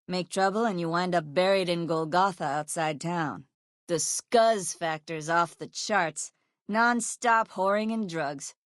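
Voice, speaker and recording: A woman's voice: fairly low pitch, no room sound, studio quality, lightly acted. A woman playing a foul-mouthed, sardonic ex-sheriff turned caravan guard in a video game, blunt and folksy in her speech. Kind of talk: video game character voice lines.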